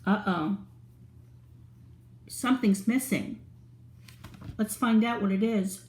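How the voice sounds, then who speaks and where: A woman's voice: medium pitch, takes it slowly, darkish tone, reverberant, a little hiss or hum. A woman reading a picture book aloud to young children in a playful, sing-song way, stopping to ask them questions. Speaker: children's storyteller.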